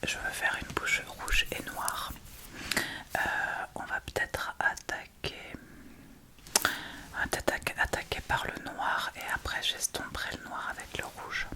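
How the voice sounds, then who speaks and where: A woman whispering in a breathy, unvoiced voice, casually thinking aloud as she presents an ASMR makeup tutorial. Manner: hushed, unhurried, informal thinking aloud. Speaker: ASMR video creator.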